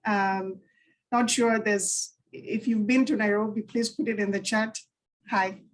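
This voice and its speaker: A woman's voice: medium pitch, steady tone, a little slow, low-quality recording. A young woman from Kenya, giving a story-driven talk as guest speaker at a virtual session, chatty with frequent ums and light laughs.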